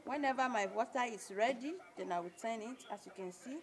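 A middle-aged woman talking casually as she cooks on camera in an outdoor courtyard, with slow, repetitive, pleading calls to subscribe. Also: on the high side, crowd noise. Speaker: cooking vlogger. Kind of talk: casual cooking demonstration.

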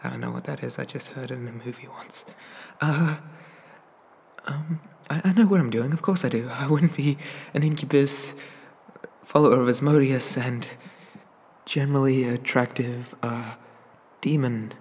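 A man playing a shy, awkward, inexperienced young incubus demon in an ASMR roleplay, stammering and apologetic, with a husky, breathy voice. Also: medium pitch, moderate pace, darkish tone, long reverb tail. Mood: nervous bluffing.

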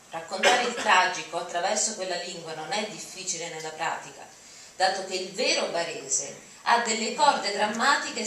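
A woman's voice: mid-range voice, a bit bright. Woman reading dramatically in an echoey hall. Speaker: reader. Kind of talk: dramatic reading of written texts. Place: auditorium, at podium. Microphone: podium mic, recorded from audience. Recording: very echoey hall, distant, noisy.

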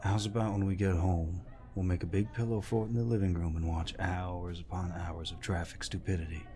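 An actor playing a gruff, protective older war veteran in an intimate audio roleplay, deep-voiced, tender and teasing. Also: male voice, steady tone, fairly quick, warm tone, lightly acted.

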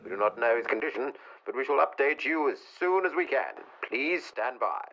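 A grave, formal newsman of the 1960s voiced by a male actor reads a breaking bulletin. His phrases are clipped and emphatic, at an ordinary male pitch. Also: animated, normal speed, fairly bright tone, highs cut off, slight background noise. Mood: grave, urgent. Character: urgent 1960s news announcer.

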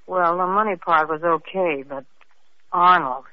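An actress playing a brash, flippant young wealthy widow being questioned, in 1940s radio acting style. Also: female voice, fairly low pitch, measured pace, no room sound, natural voice. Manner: flippant, sharp-tongued, mocking. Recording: muffled old radio recording.

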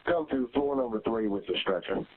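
A man's voice: medium pitch, lively intonation, a little fast, slight background noise. A man as a fire officer making terse, scripted procedure calls over a two-way radio. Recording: narrow, tinny fire radio transmission.

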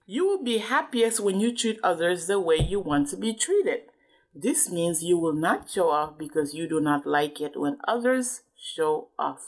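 A woman's voice: lowish voice, very animated, unhurried, rough recording. A woman reading aloud to a child as a parent, explaining things and checking understanding with questions.

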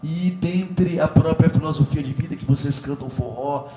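A man's voice: fairly high pitch, normal speed, over music. A middle-aged man hosting a studio interview show, talking in an effusive, rambling way with a lapel mic. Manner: effusive, rambling, jokes and shouts at crew. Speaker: talk show host. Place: TV studio set. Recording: echoey studio with audible background noise.

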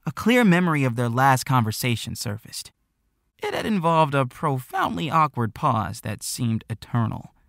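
Male audiobook narrator, animated range, touch of fry, dry close sound. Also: mid-range voice, normal speed, no echo. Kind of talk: expressive scripted story narration.

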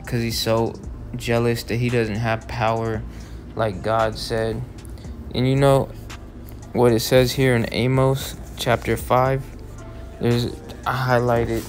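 A man in his twenties giving a rambling religious monologue, circling back and repeating his phrases. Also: mid-range voice. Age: 20s. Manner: meandering, repeats phrases, frequent restarts. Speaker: religious vlogger. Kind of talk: rambling monologue over still images. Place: voice-over, not in pictured scene.

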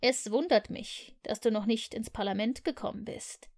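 A woman's voice: on the high side, normal speed, darkish tone. A woman reading the part of a grumpy, miserly old man who answers curtly and scornfully. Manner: curt, scornful grumbling.

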